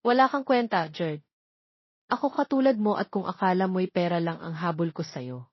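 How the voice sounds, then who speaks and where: A woman's voice: on the low side, normal speed, breathy edge, no room sound, muffled recording. A woman narrator voicing a fierce, proud young woman scolding her cheating ex in a dramatic audio story. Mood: scornful, threatening. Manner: sharp, defiant, scolding.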